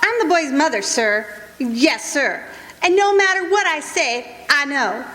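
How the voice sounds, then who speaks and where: Actress as insistent high-voiced rural mother. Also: lively intonation, unhurried, bright, forceful tone, slight background noise, heavily acted. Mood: pleading, insistent. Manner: folksy rural speech, emphatic repetition. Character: defendant's plain-spoken mother, insistent and protective. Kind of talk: radio courtroom drama acting. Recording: echoey hall stage recording.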